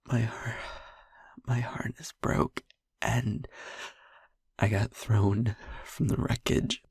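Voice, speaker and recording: A man playing a traumatised young vampire boyfriend in an intimate audio roleplay, speaking in a breathy hush with halting pauses. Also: mid-range voice, no room sound, full character voice. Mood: anguished. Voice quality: breathy, whispery. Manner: halting, hushed, pausing mid-sentence.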